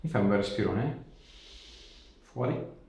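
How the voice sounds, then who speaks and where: A man's voice: on the low side, expressive, measured pace, darkish tone. A man in his thirties, an osteopath giving a patient instructions and chatting casually during treatment. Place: small indoor clinic treatment room. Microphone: camera a few steps away. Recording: echoey treatment room, distant mic, background noise.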